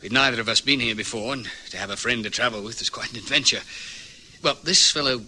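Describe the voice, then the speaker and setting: A man's voice: medium pitch, fast, sharp tone, a little hiss or hum. An actor playing an earnest, anxious young man newly arrived from Australia, polite and concerned, in a vintage radio drama. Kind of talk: 1950s-60s radio drama acting.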